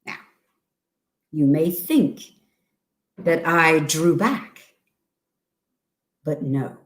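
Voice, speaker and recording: A woman giving a theatrical gothic horror reading as a cunning, gleefully mad murderer, with deliberate halting phrases and sudden emphasis. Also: deep voice, lively intonation, heavily acted. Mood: gleeful, suspenseful. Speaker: reader at a dramatic reading.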